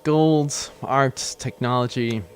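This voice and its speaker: A man's voice: mid-range voice, takes it slowly, close to an everyday voice. A man playing a wry, scheming military officer and pilot in a sci-fi audio drama, dry and nonchalant. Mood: weary, dry.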